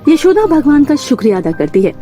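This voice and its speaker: A woman's voice: high-pitched, sing-song, a little fast, mellow. A woman reading a scripted moral bedtime story as its narrator. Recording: music bed under the voice. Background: background music.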